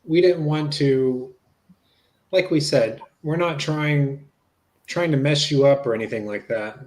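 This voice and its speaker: A man reading the part of a polite, low-key federal agent in a dramatic reading of a witness interview. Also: unhurried, darkish tone, lightly acted. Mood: reassuring. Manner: low-key, matter-of-fact.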